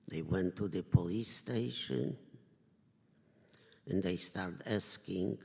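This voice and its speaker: An older man from Poland, giving a survivor's testimony in slow, measured, halting phrases. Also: low-pitched, gravelly, darkish tone, husky. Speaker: Holocaust survivor speaker. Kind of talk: personal testimony talk. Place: synagogue hall. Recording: reverberant hall.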